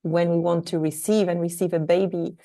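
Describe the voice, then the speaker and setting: Woman host, mellow, even-pitched, affirming, halting phrasing. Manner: enthusiastic, affirming, searching for words. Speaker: podcast host, fertility coach. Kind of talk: conversational interview.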